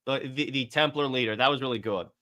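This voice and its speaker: A man's voice: medium pitch, fast talker, pushed and bright. A man co-hosting a podcast, talking casually about films and video games in an informal back-and-forth. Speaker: podcast co-host. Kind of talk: casual film and game discussion.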